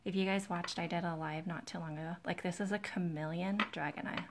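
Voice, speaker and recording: Low, soft-voiced woman with gravelly fry. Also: brisk. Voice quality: low, soft, breathy voice, often near whisper. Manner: relaxed, soothing, quiet, close to the mic. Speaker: nail technician host of a live tutorial. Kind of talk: chatty live-stream demonstration, greeting viewers. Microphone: close to the camera's microphone.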